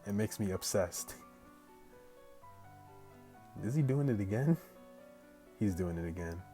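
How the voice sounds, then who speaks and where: Low-voiced man with music behind him. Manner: slangy, animated asides, breaks into laughter. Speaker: gaming video host reading a visual novel aloud. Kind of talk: casual let's play, reading story aloud with excited reactions.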